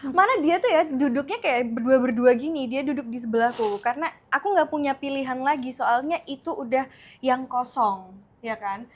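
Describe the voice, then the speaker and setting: High-voiced teasing Indonesian woman co-host. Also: moderate pace. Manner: teasing, playful, chatty. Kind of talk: casual couple chat.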